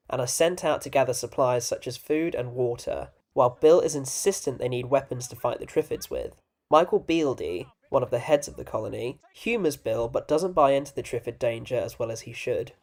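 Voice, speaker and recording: A man's voice: mid-range voice, a little fast, darkish tone. Male video essay narrator, informal and opinionated, scripted delivery with wry casual asides.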